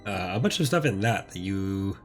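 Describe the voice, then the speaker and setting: Low-voiced man, recovering voice, gaming commentary. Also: very animated, moderate pace, faint music behind. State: recovering from voice loss. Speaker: host of a gaming playthrough series. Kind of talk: casual gameplay commentary.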